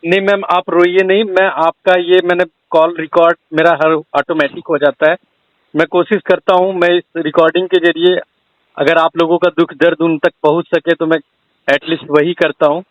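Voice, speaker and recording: A man's voice: on the high side, little pitch movement, moderate pace, no echo. A man, politely reassuring and sympathetic in a phone conversation. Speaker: video creator taking a teacher's call. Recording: muffled recorded phone call.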